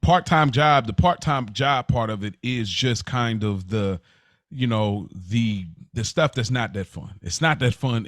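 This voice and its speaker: A man's voice: medium pitch, no room sound, studio quality. American man chatting casually on a podcast. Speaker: podcast co-host. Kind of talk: casual unscripted podcast chat.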